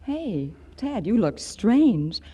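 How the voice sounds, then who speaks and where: Female: affectionate young sweetheart for old-time radio comedy, very soft dark tone, lively melodic swings. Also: fairly high pitch, extremely slow, slightly rough, full character voice. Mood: friendly concern. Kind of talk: 1940s radio comedy acting. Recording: muffled vintage 1940s radio recording.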